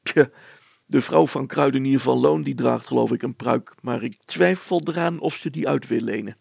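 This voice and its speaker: Guy reading a patient doubting old-world smith in a storybook, dry and matter-of-fact, very creaky husky voice. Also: mid-range voice, moderate pace, a little mellow, no room sound, pitched above the performer's own voice. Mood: dry, glum. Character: sober, patient, doubting village smith and father.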